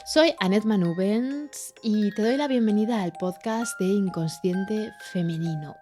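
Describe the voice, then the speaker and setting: A woman from Chile with a Spanish accent, hosting her own podcast in a reflective, searching monologue with many pauses and restarts. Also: medium pitch. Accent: Spain Spanish. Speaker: podcast host.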